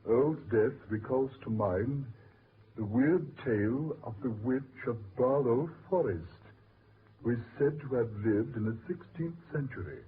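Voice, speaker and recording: A mid-pitched man's voice from an actor playing a skeptical gentleman in a vintage radio mystery. He speaks formally, with measured, declamatory phrasing. The sound is narrow and lightly noisy, like an old broadcast. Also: lively intonation, close to an everyday voice. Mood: grave, measured. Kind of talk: 1940s radio drama acting. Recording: noisy, tinny 1940s radio recording.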